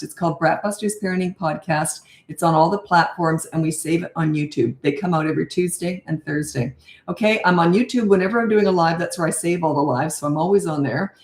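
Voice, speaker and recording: An older woman, a parenting coach, talking blunt and chatty with a raspy voice on a live-stream Q&A. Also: low-pitched, a little mellow, echoey room.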